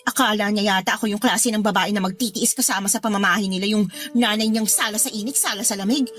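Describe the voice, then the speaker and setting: An actress playing a stubborn, fed-up young adult daughter in a radio drama, with a snippy, complaining manner. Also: female voice, medium pitch, fast, fairly bright tone, soft background music, natural voice. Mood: weary, resentful.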